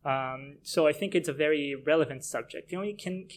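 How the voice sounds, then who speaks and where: Young man, from Brazil, fairly high voice, casual podcast host, conversational interviewing. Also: a little fast, a little hiss or hum.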